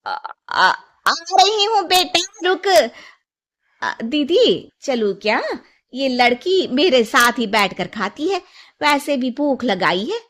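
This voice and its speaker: A woman's voice: sits high, big pitch swings, takes it slowly, a bit bright, voice pushed up, exaggerated voice. A woman voicing a cheerful, contented middle-aged mother-in-law in a cartoon story, chatty and affectionate. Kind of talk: acted cartoon story dialogue.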